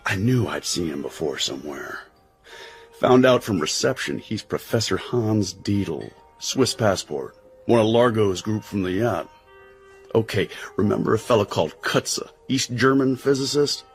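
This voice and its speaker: An actor playing a brash, wisecracking American agent and pilot in a radio drama, talking in breezy slang. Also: male voice, fairly low pitch, lively intonation, a little slow, husky, faint music behind, full character voice. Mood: confiding, upbeat.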